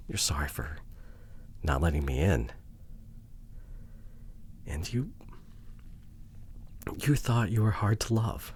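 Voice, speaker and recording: A man playing a remorseful, devoted boyfriend in an intimate ASMR audio drama, with a breathy voice that often drops toward a whisper and an earnest, halting delivery. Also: low-pitched, sing-song, darkish tone, a little hiss or hum, exaggerated voice. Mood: pleading, distressed. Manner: emotional, earnest, halting with sighs. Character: remorseful, devoted boyfriend pleading to repair relationship.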